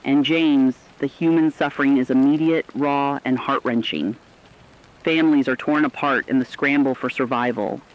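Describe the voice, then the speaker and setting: A man's voice: mid-range voice, fairly even intonation, moderate pace, close to an everyday voice. A man playing a somber radio co-anchor in a disaster drama, reading formal, earnest reports with emphatic lists, on slightly dull audio. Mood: somber, concerned. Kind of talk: scripted radio news drama narration.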